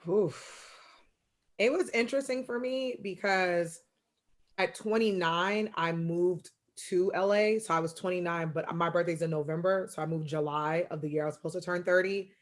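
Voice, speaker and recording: Lively woman in her thirties. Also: lowish voice, moderate pace. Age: 30s. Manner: lively storyteller, acts out quoted relatives. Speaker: podcast co-host. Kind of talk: casual podcast chat.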